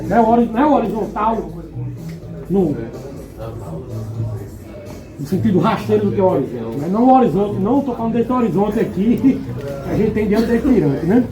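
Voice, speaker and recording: A man's voice: sits high, expressive, fairly quick, pauses often, low-quality recording. A man talking at a panel in a loose, rambling way with many restarts and asides. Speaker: panel guest. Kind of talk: casual discussion. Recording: music behind.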